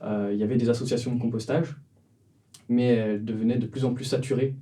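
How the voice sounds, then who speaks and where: A man's voice: medium pitch, fairly even intonation, fairly quick, warm tone, recorded from a distance. A man in his twenties from the Vendée, a startup cofounder telling the story of his company in an interview, with many fillers and restarts. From France, Vendée. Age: 20s. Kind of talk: casual interview answers.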